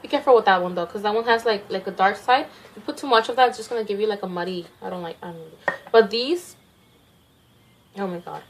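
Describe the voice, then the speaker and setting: A woman's voice: medium pitch, fast talker, a little hiss or hum, rough recording. Chatty young woman with a Mexican accent. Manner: chatty, self-conscious, rambling with restarts. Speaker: makeup vlogger. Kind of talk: casual makeup tutorial.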